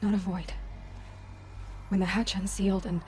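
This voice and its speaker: An actress playing a determined, earnest young heroine in a video game, speaking with urgency. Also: female voice, mid-range voice, level tone, moderate pace, mellow, a little hiss or hum, lightly acted. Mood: solemn, hopeful. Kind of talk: dramatic video game dialogue.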